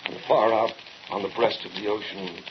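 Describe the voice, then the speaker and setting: A man acts out a bitter, grieving accuser in vintage radio horror. His low voice is solemn and poetic with a sardonic edge, heard through a muffled old recording with clear noise. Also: steady tone, normal speed, lightly acted. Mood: mournful, solemn. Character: bitter, mournful male accuser mocking his scientist brother. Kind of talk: 1940s radio horror drama acting.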